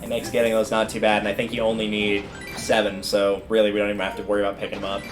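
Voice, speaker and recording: A man with a fairly low voice gives casual gameplay commentary on a livestream. He talks colloquially, thinking out loud as he plays, and game music runs clearly behind him. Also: monotone, racing. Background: video game music.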